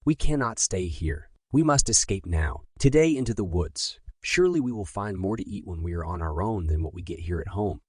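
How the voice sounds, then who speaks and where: A man's voice: low-pitched, animated, fairly quick, few pauses, breathy edge, dry recording, clean studio sound. A man voicing a brave, earnest young girl who looks after her brother in a bedtime story. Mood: determined. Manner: earnest, reassuring, polite. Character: brave, resourceful young girl, caring big sister.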